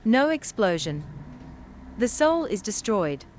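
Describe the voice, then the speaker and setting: An actress playing a weary, knowing monster expert in a comedy horror audio drama, dry and exasperated. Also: female voice, medium pitch, very animated, takes it slowly, a little hiss or hum. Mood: matter-of-fact. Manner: dry, exasperated, matter-of-fact.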